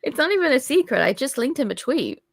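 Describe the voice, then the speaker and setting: A woman's voice: high voice, very animated, fast talker, vocal fry. A woman chatting casually, off the cuff, on a live broadcast. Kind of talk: casual chat. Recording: noisy mic with clicks.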